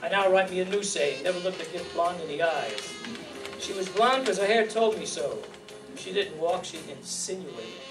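Noir private eye, played by an older man: high voice, slangy, wry, hard-boiled radio style, picked up from far off. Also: lively intonation, normal speed. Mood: wry narrating. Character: wisecracking hard-boiled male private eye, world-weary. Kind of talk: 1950s noir radio acting. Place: staged reading in a community hall. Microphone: stand microphone, heard from a far camera. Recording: distant room mic, music.

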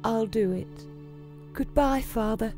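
An earnest young noblewoman in love, high-voiced and determined, acted by a woman. She speaks slowly and dramatically in a storybook drama, with music behind. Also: warm tone. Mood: earnest, resolute. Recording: music under the voice.

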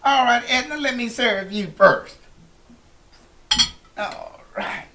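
A man's voice: very high voice, slow, bright, forceful tone. A man in his fifties, a guest cook chatting in a home kitchen in a folksy, effusive way. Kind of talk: casual cooking show chat. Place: home kitchen and dining room.

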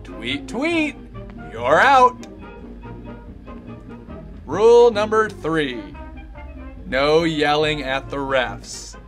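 A man's voice: a little high, big pitch swings, drawn-out words, long pauses, fairly bright tone, heavily acted. A man playing a prim, mock-polite narrator of an old-time instructional film parody, scolding in a sing-song way. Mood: prim, admonishing. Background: music.

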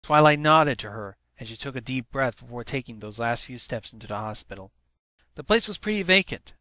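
Male narrator, medium pitch, dramatic scripted storytelling, dry recording. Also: expressive, a little fast, pushed and bright, dry recording. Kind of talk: scripted story narration, fan fiction read-aloud.

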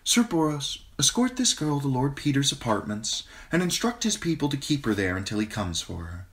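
A man with a deep voice putting on a poised adult queen who speaks softly and sweetly but with a sharp edge, his voice raised in pitch. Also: very animated, normal speed. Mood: commanding. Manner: soft, honeyed and controlled.

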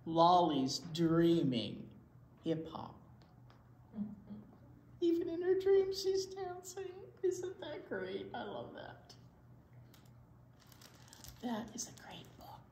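An older woman reading a picture book aloud to children as a storytime teacher, animated and savoring the words. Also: mid-range voice, slow, pauses often. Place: indoor library storytime room. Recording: roomy sound, faint room noise.

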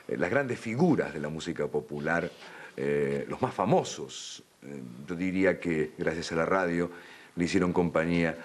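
Deep, husky Argentine male host. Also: expressive, moderate pace. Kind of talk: studio interview, reflective presenting. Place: dark television studio.

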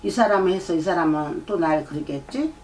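A woman's voice: on the low side, animated, fairly quick, a little mellow, slight background noise. A middle-aged woman, a shaman doing a fortune-telling consultation, lecturing and often prompting with "eh?". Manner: lecturing, repeats herself, prompts with "eh?". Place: shrine room with folding screen and drum. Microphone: far camera. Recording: distant mic, faint room ambience.

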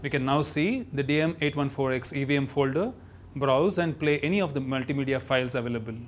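A man's voice: mid-range voice, fairly quick. A man reading scripted narration for a product demonstration.

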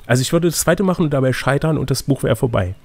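Male game master, gravelly and slightly rough, casual storytelling with teasing asides. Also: mid-range voice, quick pace. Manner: teasing, playful. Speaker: game master and reader of an adventure gamebook. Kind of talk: reading a gamebook aloud with casual banter.

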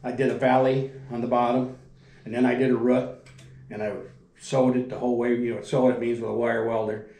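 An older man spins plain-spoken stories about his years on the factory floor, wandering through details and letting his voice sink low at the ends of thoughts. He sits at a dining room table, picked up by a camera across from him, and the room lends an echo to his mid-pitched voice. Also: level tone, brisk. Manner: rambling, folksy, restarts and trails off quietly. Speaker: retired factory welder telling stories. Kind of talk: casual storytelling. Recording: echoey room.

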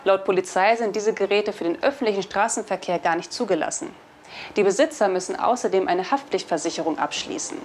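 A woman's voice: mid-range voice, darkish tone, a little hiss or hum. A young woman reading scripted news as a video presenter, on a lapel mic. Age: young adult.

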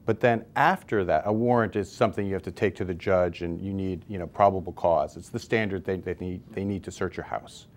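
An American man in his forties with a fairly low voice explains things as an interview guest. He is conversational and leans on key words. He speaks into a lapel mic in a newsroom studio. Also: fairly even intonation, brisk, few pauses. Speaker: expert guest, civil liberties advocate. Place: newsroom studio, seated at table.

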